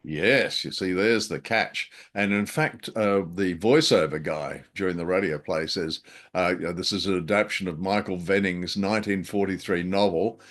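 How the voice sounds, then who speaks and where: A man's voice: low voice, moderate pace, a bit bright. A man co-hosting a podcast, chatting casually about an old radio drama, hesitant with many ums and a dry, self-mocking humor. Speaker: podcast co-host.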